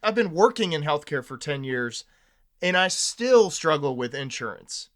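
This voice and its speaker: A man's voice: on the high side, sing-song, normal speed, a bit bright. A man hosting a podcast, talking in a candid, opinionated and conversational way.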